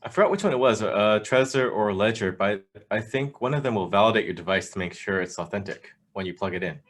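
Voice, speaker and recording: A man co-hosting a casual live show, joking and teasing his co-hosts. His voice sits on the low side. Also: expressive, fast talker. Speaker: podcast co-host.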